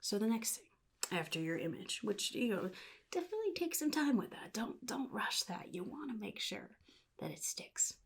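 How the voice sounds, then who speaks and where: Woman playing a sadistic male comic-book supervillain, gravelly half-whisper, husky, halting drawl, casually menacing mentor, soft ASMR roleplay. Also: fairly high pitch, very animated, exaggerated voice. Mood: scheming mentor.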